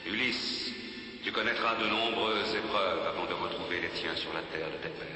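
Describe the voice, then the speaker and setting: A man's voice: sits low, flat delivery, moderate pace, lightly acted. An actor playing a mighty, vengeful god in a cartoon, pompous and menacing as he declaims. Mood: solemn menace. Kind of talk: dramatic cartoon villain acting. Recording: cavernous long reverb under loud music.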